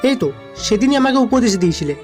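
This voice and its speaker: A man's voice: very high-pitched, big pitch swings, fast. An actor playing a teasing, deferential young man in his twenties, cheeky and coaxing, in an audio drama. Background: music.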